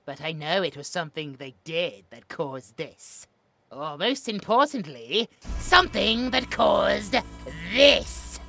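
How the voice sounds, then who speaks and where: A man's voice: high-pitched, animated, slow, vocal fry, sharp tone, faint music behind, full character voice. A man with a British accent playing a smug, cruel mad scientist in an audio roleplay, taunting, theatrical and clinically precise. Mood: explanatory, bitter.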